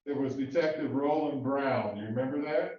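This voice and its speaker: Halting male attorney in an echoey courtroom. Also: mid-range voice. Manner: halting, many fillers and restarts. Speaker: defense attorney questioning a witness. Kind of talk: courtroom cross-examination. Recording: echoey, distant, dull courtroom audio.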